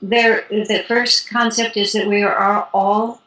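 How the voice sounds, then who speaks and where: A woman in her sixties, a spiritual teacher calmly explaining her practice in an interview, with light laughs. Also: mid-range voice, takes it slowly. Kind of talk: interview guest. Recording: roomy echo.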